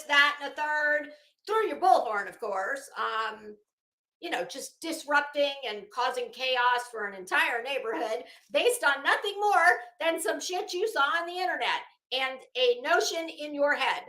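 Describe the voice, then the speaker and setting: Woman, higher pitch, very bright edge, mocking asides, dramatic sarcastic reading, live-stream commentator. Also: echoey room, rough recording. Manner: mocking, drily sardonic asides.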